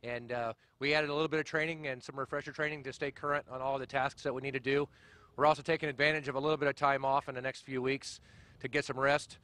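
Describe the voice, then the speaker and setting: A man's voice: medium pitch, level tone, a bit bright. A middle-aged man briefing the press outdoors as mission commander, friendly and full of "uh" fillers, on a handheld mic. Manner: friendly, fast, many "uh" fillers. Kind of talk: outdoor press conference remarks. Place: outdoors at a launch pad.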